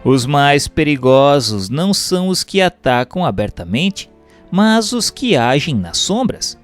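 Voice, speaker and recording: A Brazilian man reading a written text aloud as a formal, measured, expressive narration. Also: on the high side. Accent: Brazilian Portuguese. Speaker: reader of a Spiritist text. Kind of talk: scripted audiobook-style narration. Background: background music.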